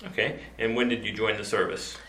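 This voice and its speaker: A man's voice: fairly low pitch, level tone, a little fast, fairly bright tone. A man interviewing a veteran for an oral history project, asking brief, plain questions. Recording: roomy echo, faint ambience.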